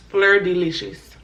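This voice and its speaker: A hoarse woman hosting an eating show, chatting casually and talking to viewers as y'all between bites. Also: fairly low pitch, muffled recording, background noise. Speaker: mukbang host. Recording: echoey room.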